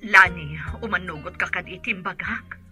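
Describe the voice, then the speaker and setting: A woman's voice: on the low side, normal speed, sharp tone, faint music behind. A woman playing an anxious middle-aged birth mother longing for her child, pleading emotionally in an Ilocano radio drama, with an Ilocano accent. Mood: resigned, sad.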